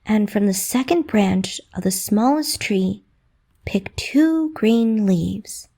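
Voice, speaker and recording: A woman narrating a children's bedtime story in a rhythmic, expressive way with dramatic emphasis. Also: mid-range voice, takes it slowly, vocal fry.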